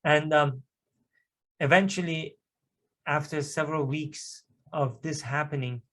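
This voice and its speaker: A man from Iran, a native Persian speaker, delivers a personal testimony in church. He speaks earnestly, pressing hard on key moments. His voice sits a little high for a man. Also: steady tone, unhurried, fairly bright tone. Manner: earnest, emphatic storytelling with swells of intensity. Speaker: guest speaker giving testimony.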